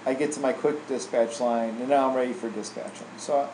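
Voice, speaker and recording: A man's voice: mid-range voice, fairly even intonation, a little fast, a little mellow. Man giving a tutorial in an echoey room. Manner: casual, informal, tags statements with "right?". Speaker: software trainer. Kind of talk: informal software tutorial walkthrough. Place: indoor room, screen recording voice-over. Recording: roomy echo, faint air-conditioning hum.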